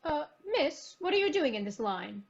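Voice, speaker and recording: Actress as a bossy fed-up store lady, high animated voice, slightly muffled audio. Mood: officious, exasperated. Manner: brisk, officious. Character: officious, exasperated female department-store employee. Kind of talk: old-time radio comedy acting.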